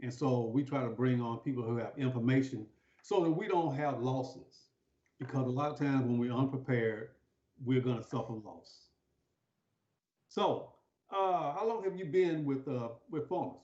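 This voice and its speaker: A man's voice: mid-range voice, normal speed, a little mellow. A man hosting an informal interview, often repeating words as he asks his questions. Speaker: interview host. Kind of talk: conversational interviewing. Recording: dull, echoey room livestream audio.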